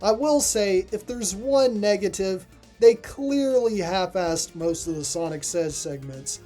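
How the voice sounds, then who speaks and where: A video essay reviewer reads scripted review narration in a mellow, relaxed voice. The pitch sits in a middle register, and faint music plays underneath. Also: measured pace.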